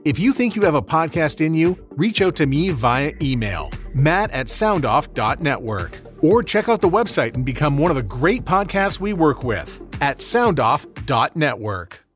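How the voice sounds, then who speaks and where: A man gives a promotional read in a fairly high, very animated voice. Light music plays behind his pitch as a podcast producer. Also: moderate pace, hardly any pauses.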